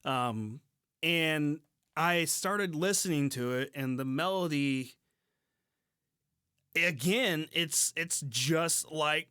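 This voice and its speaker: An American man with a slightly high voice hosts a casual podcast. He talks informally, doubling back and repeating phrases as he works out a point. The sound is close and dry. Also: slow pace, long pauses, sharp tone, smooth, no room sound. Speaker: podcast host. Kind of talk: casual music podcast chat.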